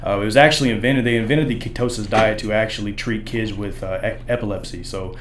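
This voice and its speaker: A man with a deep baritone voice, a podcast guest explaining things in loose, rambling casual chat and hedging as he goes. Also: fairly even intonation, fast, slight background noise.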